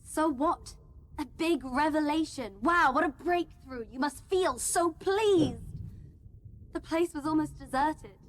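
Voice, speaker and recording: A woman's voice: high voice, slow. A woman playing a rich boss's entitled, disdainful daughter in a radio play, sarcastic and scornful. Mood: sarcastic, bored.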